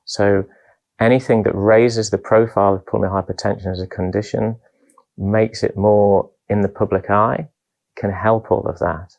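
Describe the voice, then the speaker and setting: A low-pitched male doctor with a gravelly voice speaks in an even, flat tone. His delivery is measured and earnest, like a conference talk. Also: lo-fi sound.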